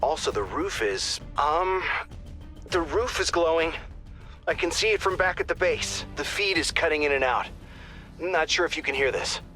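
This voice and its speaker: An actor playing a field support officer on comms who talks in a casual, wry way. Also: male voice, fairly high pitch, lively intonation, moderate pace, bright, forceful tone. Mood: informal. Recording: game audio with faint music.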